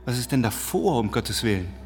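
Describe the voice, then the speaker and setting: An actor playing an eager, slick TV pitchman in a radio play, talking in halting salesman-like bursts with dramatic emphasis. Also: sing-song, close to an everyday voice. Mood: impatient. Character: eager, slick male TV series pitchman, chatty and insistent. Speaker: screenwriter pitching a series. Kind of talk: theatrical radio play monologue. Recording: long reverb, clear music behind.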